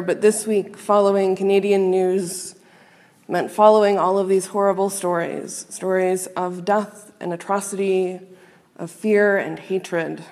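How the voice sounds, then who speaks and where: A woman minister giving a sermon to a congregation, speaking in a measured, earnest and reflective way with pauses. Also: mid-range voice, a little mellow. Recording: long reverb of a large hall.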